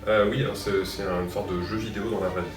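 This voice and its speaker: A man's voice: low-pitched, flat delivery, echoey room, distant mic. A young man from Montreal, explaining his escape game business in an interview, with many fillers. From Montreal, Quebec. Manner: fast, filler-heavy explaining. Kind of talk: promotional interview.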